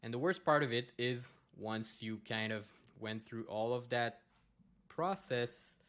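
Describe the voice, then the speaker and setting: A man's voice: medium pitch, unhurried, a bit bright. A man from Quebec, a native French speaker with a Québécois accent, giving a conference presentation and explaining with many fillers and restarts. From Quebec, Canada.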